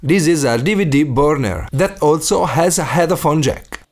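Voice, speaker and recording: Lively Italian-accented man narrating. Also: on the high side, moderate pace. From Italy. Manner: non-native English phrasing. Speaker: DIY video maker and host. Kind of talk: scripted tutorial voice-over.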